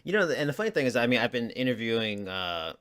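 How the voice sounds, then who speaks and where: A man's voice: medium pitch, very animated, fast talker, a bit bright. Man interviewing, stammering restarts. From United States. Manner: friendly, stammering restarts, laughs along. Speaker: interviewer, host. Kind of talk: casual interview questions.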